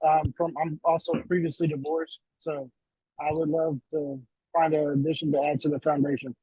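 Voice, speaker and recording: A man in his thirties on a dating show, speaking haltingly with fillers. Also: on the high side, flat delivery, warm tone, husky, no room sound, rough recording. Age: 30s.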